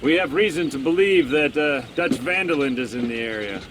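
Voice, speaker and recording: An actor as an officious agent, noisy capture. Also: male voice, a little high, expressive, brisk, natural voice. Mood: coldly commanding. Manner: condescending, commanding. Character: cold, officious American government agent.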